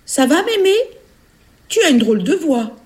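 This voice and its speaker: A woman as a high-voiced innocent little girl. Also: sing-song, normal speed, darkish tone. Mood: eager, childlike. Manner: high, childlike, simple. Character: polite, innocent little girl in a fairy tale.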